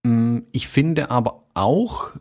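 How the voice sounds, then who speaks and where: A man's voice: mid-range voice, animated, slow pace, a little mellow. A man from Swabia with a light Swabian accent, chatting casually as a podcast co-host, laughing often and poking fun at himself. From Swabia, southern Germany.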